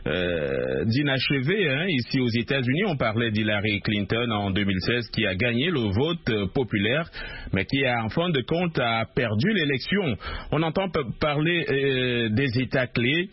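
A man hosting a radio interview, questioning guests in a lively way with drawn-out fillers. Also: mid-range voice, slightly rough, no room sound, highs cut off. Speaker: radio host.

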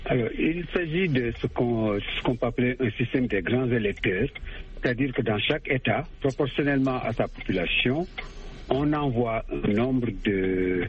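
A man's voice: mid-range voice, normal speed. Man guest explaining with many hesitations, muffled lo-fi line. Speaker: political analyst guest. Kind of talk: explanatory radio commentary.

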